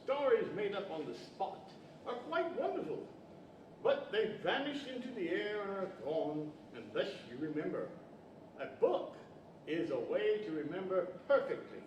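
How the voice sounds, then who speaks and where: Older man playing a high, swooping courtly old wizard. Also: sing-song, moderate pace, a little mellow. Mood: kindly, instructive. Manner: formal, theatrical. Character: kindly, courtly wizard and wise storybook professor. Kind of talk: 1940s radio-style stage acting. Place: large library event room, standing microphone. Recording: distant, dull, echoey hall with hiss.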